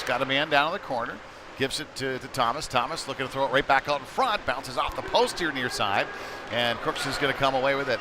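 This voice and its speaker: Male hockey play-by-play commentator, mid-pitched voice, conversational and clipped phrasing, live broadcast with clear rink noise behind him.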